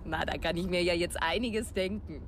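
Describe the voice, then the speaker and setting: A woman's voice: fairly low pitch, normal speed, lightly acted. An actress playing a curious, awkward young woman driver in naturalistic radio drama dialogue. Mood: awkward, miffed. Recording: clear vehicle noise behind.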